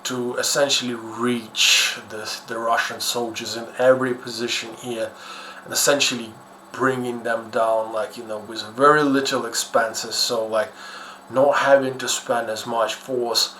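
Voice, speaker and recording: A man's voice: mid-range voice, little pitch movement, unhurried, bright, forceful tone. A man from Ukraine with a Ukrainian accent gives casual, unscripted commentary in a hesitant, rambling, blunt and self-deprecating way. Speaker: YouTuber host. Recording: echoey room with faint background noise.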